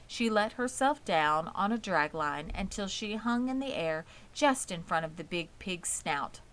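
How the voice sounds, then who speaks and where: A woman's voice: on the low side, lively intonation, a little slow, a bit bright. A woman reading a children's story aloud as scripted narration. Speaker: audiobook reader.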